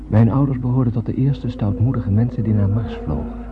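A man's voice: medium pitch, a little fast, natural voice. An actor playing a dreamy, solemn space wanderer who narrates his tale in a poetic, declamatory radio play manner. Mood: solemn, reflective. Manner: poetic, declamatory, dramatic, with hushed phrase endings. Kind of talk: scripted radio play monologue. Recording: tinny narrow-band radio recording with music.

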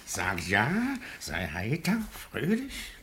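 A man's voice: on the low side, wildly swooping pitch, slow pace, a bit bright, slight background noise, exaggerated voice. An actor playing a pompous, bullying parish beadle who fawns on his superiors, in officious 1950s German radio drama style. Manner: officious, self-important.

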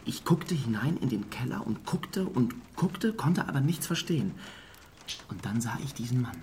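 Hushed, rambling small-town eyewitness. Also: male voice, medium pitch, sing-song, normal speed. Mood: eager, confiding. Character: talkative small-town male eyewitness, eager, deferential, rambling. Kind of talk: German radio drama acting. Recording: faint background noise and ambience.

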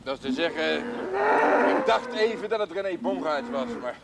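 An actor playing an excitable, anxious male villager in a rural television drama, speaking in an agitated, halting way. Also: on the high side, vocal fry, a loud crowd. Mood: uneasy. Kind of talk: 1970s Dutch television drama acting.

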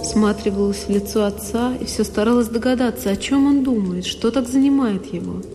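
An actress playing a sorrowful young woman who recalls a lonely childhood, in an intimate, deliberate radio monologue. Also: on the high side, natural voice. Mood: wistful, pained. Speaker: solo actress. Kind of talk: dramatic literary monologue for radio. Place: studio radio production. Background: music.

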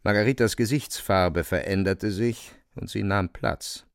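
Male narrator for literary radio drama: measured, dramatic, low register with fry, recorded dry. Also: no echo. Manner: measured, deliberate storytelling.

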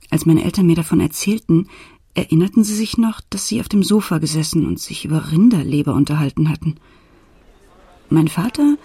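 An actress playing a grown daughter who retells her family's past in measured, matter-of-fact radio play narration. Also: fairly low pitch, dark tone, breathy edge. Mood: measured, reflective.

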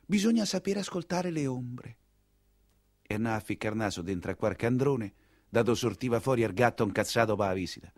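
A man's voice: medium pitch, a bit bright, no echo, clean studio sound. An actor playing an earthy, talkative 19th-century coachman with a Roman accent, telling his tale in a colloquial theatrical dialect monologue, exclaiming and confiding. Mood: wistful. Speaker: stage and radio actor.

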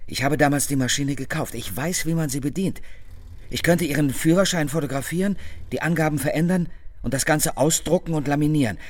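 An actor playing an embittered small-town loner, anxious yet determined, in a German radio crime drama, speaking earnestly and uneasily. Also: male voice, on the high side, a little fast, lightly acted.

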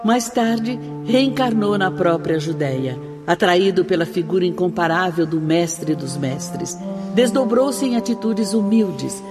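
A woman's voice: lowish voice, lively intonation, a little slow. A Brazilian woman acting an exalted spirit sister who instructs souls in formal, reverent radio-drama oratory. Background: music.

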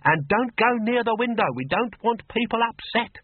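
A man's voice: very high voice, animated, moderate pace, no room sound, voice pushed up. A man voicing a fussy, self-important older mother who nags her grown daughter. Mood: nagging. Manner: nagging, scolding. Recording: muffled phone-like audio, dry.

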